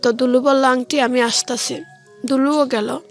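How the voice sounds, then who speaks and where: Female interview guest, Bangladeshi Bengali accent, high voice, halting colloquial storytelling, faint music underneath. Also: steady tone. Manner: colloquial, halting, repeats phrases.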